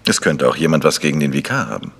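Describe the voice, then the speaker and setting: An actor playing a polite, inquisitive amateur detective in a German radio mystery. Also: male voice, extremely low pitch, sing-song, fast, creaky, a bit bright, breathy edge, heavily acted. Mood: probing. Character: probing, courteous amateur detective. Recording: faintly noisy old radio recording.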